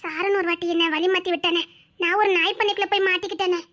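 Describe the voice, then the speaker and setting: A woman playing a soft, wistful young wife. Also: extremely high pitch, steady tone, quick pace. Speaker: wife narrating her story. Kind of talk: soft dramatic monologue.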